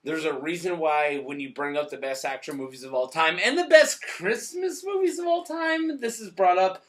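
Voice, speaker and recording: Drunk, high-voiced man rambling excitedly. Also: normal speed. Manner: excitable, rambling, emphatic, swears freely. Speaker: movie review host. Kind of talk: casual profane movie chat.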